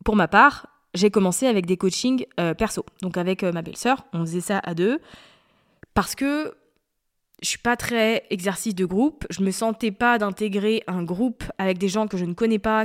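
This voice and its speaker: Chatty woman in her thirties. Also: mid-range voice. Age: 30s. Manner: chatty, digressive, confiding. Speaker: podcast host. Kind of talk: casual solo podcast talk.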